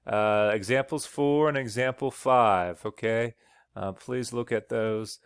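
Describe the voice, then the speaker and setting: Smooth-voiced male teacher explaining step by step. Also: medium pitch, slow pace, dry recording, clean studio sound. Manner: explains step by step, frequent "okay?" checks. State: slightly losing his voice. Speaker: math teacher. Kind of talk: recorded slide lecture.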